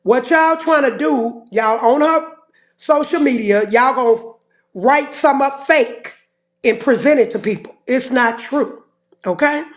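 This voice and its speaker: A woman calls into a livestream with a slightly hoarse voice, defending herself in short, repeated phrases. She speaks slowly and deliberately. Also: sits high. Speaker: livestream guest. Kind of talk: livestream call-in.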